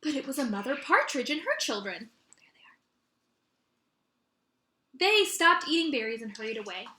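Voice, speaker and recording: A woman teacher reading a children's story aloud in an expressive, animated way with dramatic emphasis. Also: high voice, normal speed. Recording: roomy echo.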